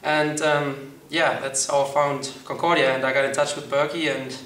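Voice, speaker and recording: A young man from Germany, a college soccer player answering interview questions in a hesitant way, with many pauses. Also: fairly high pitch, level tone, fairly bright tone. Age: young adult. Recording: roomy echo, mic far off.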